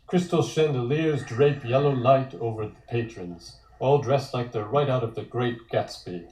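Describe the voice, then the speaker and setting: A man's voice: mid-range voice, normal speed, a little mellow. A man reading a comic script aloud as narrator. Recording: echoey room.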